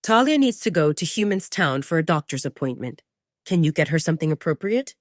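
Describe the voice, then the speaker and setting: A woman's voice: low voice, very animated, fairly quick, dry recording, studio quality. A woman voicing a bossy young male werewolf alpha with a curt, commanding manner in an audio drama.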